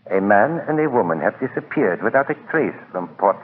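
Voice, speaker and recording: An actor playing a grave island hotel proprietor, formal, deliberate and ominous, in 1940s radio drama. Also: male voice, fairly low pitch, lively intonation, natural voice. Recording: tinny, noisy 1940s radio recording.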